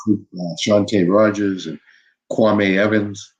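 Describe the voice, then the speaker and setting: An older man, a former basketball coach, telling stories from his career in an unhurried interview, full of "you know" and restarts. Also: fairly low pitch, steady tone, lo-fi sound. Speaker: former college basketball head coach, guest. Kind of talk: reflective interview storytelling.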